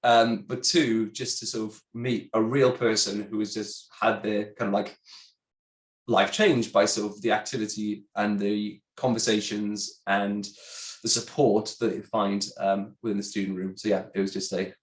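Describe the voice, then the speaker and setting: A congested man with a chatty, halting manner, a sales director presenting a webinar. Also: lowish voice, level tone. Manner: chatty, many ums and restarts. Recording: roomy echo.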